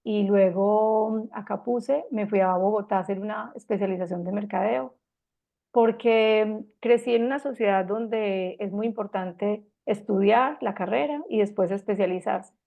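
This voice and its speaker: A Colombian woman in her fifties with a Medellín accent, gently giving a reflective personal talk with frequent pauses and restarts. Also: medium pitch, creaky, narrow, tinny audio. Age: 50s.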